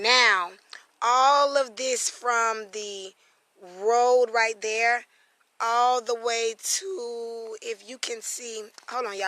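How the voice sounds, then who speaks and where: Hoarse chatty woman on a phone live stream. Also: a little high, sharp tone. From South Carolina, USA. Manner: chatty, greets viewers by name, drawn-out asides. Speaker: spiritual reader and vlogger. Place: outdoors on family land in the country. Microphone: phone camera.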